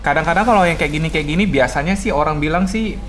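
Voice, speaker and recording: A man's voice: on the high side, fast talker, a bit bright, a little hiss or hum. A man hosting a casual chat, eagerly prompting a guest with short questions and acknowledgements. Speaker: host.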